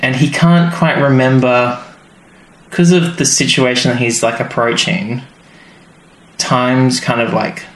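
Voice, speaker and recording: A man's voice: medium pitch, fairly even intonation, unhurried. A man co-hosting a podcast, chatting casually and rambling with many fillers. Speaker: podcast co-host. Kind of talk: casual chat. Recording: roomy with faint noise.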